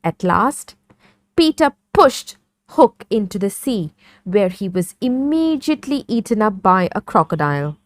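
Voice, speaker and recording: Slow, expressive woman storyteller. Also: medium pitch, no echo, studio quality. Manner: slow, clearly phrased read-along delivery. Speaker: storyteller narrator. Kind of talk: scripted children's story narration.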